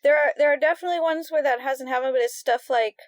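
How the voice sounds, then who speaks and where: A woman's voice: high-pitched, quick pace, dry recording, clean studio sound. A woman co-hosting a fan podcast, chatting casually and often repeating words as she starts a thought. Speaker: podcast co-host.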